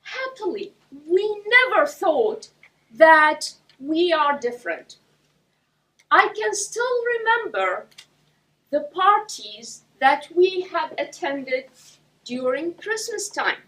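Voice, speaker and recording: A woman from Iraq giving a prepared speech to a club audience, speaking slowly and deliberately with pauses between phrases. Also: very high-pitched, sing-song, bright, forceful tone. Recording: voice from a distance.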